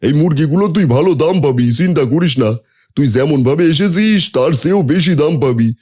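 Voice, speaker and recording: A man voicing a jovial, mellow village trader. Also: on the high side, quick pace, close to an everyday voice. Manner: friendly, jovial, teasing. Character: middle-aged village chicken trader Ratan.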